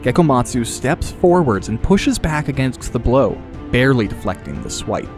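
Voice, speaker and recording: A man with a mid-pitched voice reads scripted fantasy narration as an audiobook storyteller. Music plays clearly beneath his dramatic delivery. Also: big pitch swings, normal speed.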